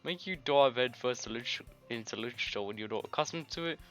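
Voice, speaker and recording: A man's voice: medium pitch, quick pace, fairly bright tone. A man playing a game on a recording, reading its dialogue aloud and adding crude, jokey, informal asides. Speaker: gamer doing a let's play. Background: game music.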